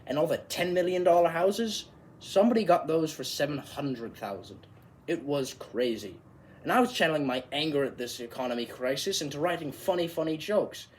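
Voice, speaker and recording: A man performing a monologue as an animated, exasperated Irish comedian, telling a story with emphatic, theatrical delivery. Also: fairly high pitch, moderate pace, a little hiss or hum, pitched above the performer's own voice, close to an everyday voice.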